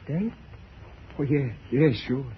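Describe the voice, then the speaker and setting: A man's voice: a little high, animated, slow pace, slightly rough, heavily acted. A man acting a wounded nineteen-year-old robbery lookout in an old-time radio drama, terse and defensive. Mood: resigned. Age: young adult. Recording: dull, noisy old radio transcription.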